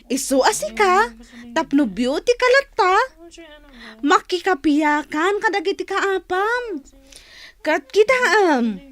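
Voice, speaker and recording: A woman playing a vain, chirpy friend handing out beauty advice with a coy, drawn-out delivery in a Philippine radio comedy. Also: very high-pitched, fairly bright tone, exaggerated voice. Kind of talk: Philippine regional radio comedy-drama acting.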